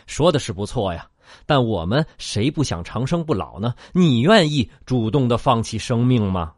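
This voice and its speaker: A man acting in a sci-fi radio drama as a centuries-old future human, speaking in a measured, matter-of-fact way. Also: medium pitch, very animated, a little mellow, dry recording, studio quality. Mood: conversational. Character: far-future man aged 223, measured, unsurprised questioner.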